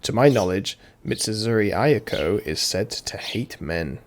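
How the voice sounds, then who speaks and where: A man's voice: lowish voice, lively intonation, unhurried. A man reading game dialogue aloud as a let's-player, adding casual asides, with birds chirping behind him. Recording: noisy home recording, birds chirping.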